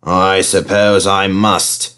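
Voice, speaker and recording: A man voicing a conceited, sulky steam engine in a storybook, with haughty, drawn-out complaining. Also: low voice, flat delivery, sharp tone, smooth, heavily acted.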